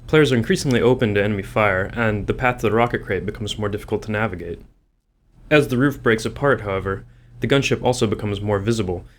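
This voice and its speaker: Male game developer, quick delivery, lowish voice, prepared commentary.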